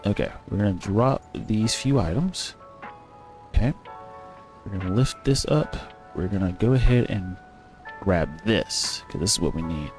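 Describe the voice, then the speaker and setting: Gaming streamer, male, lowish voice; loose thinking-aloud commentary over faint music. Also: animated, a little slow, long pauses, a little mellow. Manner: rambling, thinking aloud, self-correcting. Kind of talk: casual gameplay commentary.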